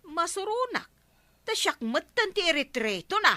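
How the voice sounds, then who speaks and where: A woman's voice: very high-pitched, very animated, moderate pace, sharp tone, no room sound, exaggerated voice. A woman playing a bickering, unashamed single village councilwoman in an Ilocano radio comedy drama. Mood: defensive.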